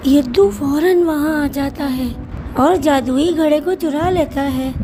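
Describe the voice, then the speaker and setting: A woman narrating a children's cartoon story in a measured storytelling way. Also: sits high. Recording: narration over background music.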